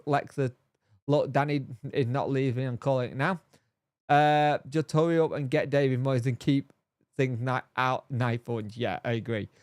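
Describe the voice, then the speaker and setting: A man's voice: fairly high pitch, dry recording, clean studio sound. A man with a blocked, nasal and throaty voice, rambling casually through a live stream and answering chat by name. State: congested, bunged up. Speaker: fan channel host reading live chat.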